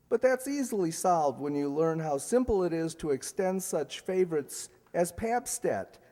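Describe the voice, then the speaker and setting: An actor playing a polished, enthusiastic 1940s radio announcer and pitchman in an emphatic style. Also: mellow, heavily acted. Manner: emphatic, salesmanlike. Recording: echoey hall stage recording.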